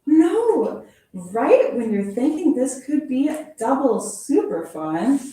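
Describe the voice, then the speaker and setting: Brief: woman teacher reading picture books to kids, lively sing-song delivery, mellow tone, very echoey room, mic far off. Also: fairly high pitch. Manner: expressive, playful storytelling with sound effects. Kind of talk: children's picture-book read-aloud.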